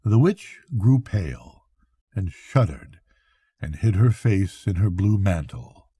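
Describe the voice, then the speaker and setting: An older man, a grandfather, narrating a bedtime story in an expressive, theatrical way. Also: deep voice, takes it slowly, husky, no room sound, clean studio sound. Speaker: grandfather reading bedtime stories.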